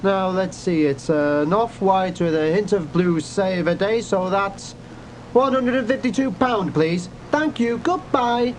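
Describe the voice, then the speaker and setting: A man voicing an excitable, chatty railway ticket clerk in a comic story, exclaiming in disbelief and then obliging. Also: sits high, measured pace, a little hiss or hum, rough recording.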